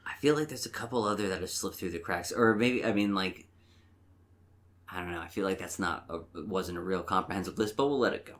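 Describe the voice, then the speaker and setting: Male podcast co-host, low voice, conversational and informal, somewhat halting delivery with repeated phrases. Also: a bit bright. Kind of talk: casual chat about movies.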